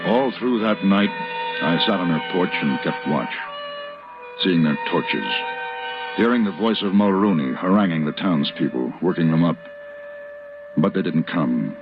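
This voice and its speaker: Tough, principled Western lead, firm and animated, muffled vintage radio drama sound. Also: male voice, low voice, unhurried, pauses often, music in the background. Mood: grave narration. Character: tough, principled Western hero, protective and commanding. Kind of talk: 1950s radio drama acting. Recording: muffled, noisy old radio recording.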